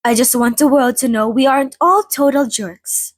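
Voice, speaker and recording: A genteel female vampire, played by a woman, chats with her interviewer in an intimate ASMR roleplay. Her voice sits on the high side and is recorded bone dry. She is polite and a little formal, with gently wry, old-fashioned turns of phrase. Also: moderate pace, dry recording. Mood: friendly, courteous. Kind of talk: ASMR roleplay, in-character monologue to listener.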